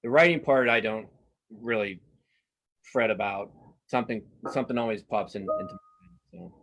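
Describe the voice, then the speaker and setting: Man, low voice, casual interview guest, halting, frequent restarts and repeated words. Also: fairly bright tone. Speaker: guest cartoonist and writer.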